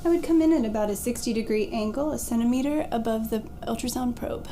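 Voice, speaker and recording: A woman's voice: fairly high pitch, fast, dark tone, a little hiss or hum, close to an everyday voice. A woman playing a polite, careful exam candidate describing a procedure step by step. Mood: polite, focused. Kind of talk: scripted skill demonstration.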